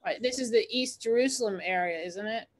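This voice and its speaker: A woman's voice: fairly high pitch, fairly quick. A woman hosting a book group over a video call, offering short, friendly and encouraging replies. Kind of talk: casual video call chat.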